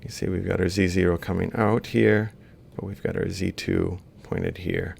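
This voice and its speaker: Very creaky, low-voiced man lecturing. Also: little pitch movement, normal speed, a little mellow, slight background noise. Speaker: university robotics lecturer. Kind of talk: informal step-by-step worked-example lecture. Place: screen-recorded lecture voice-over.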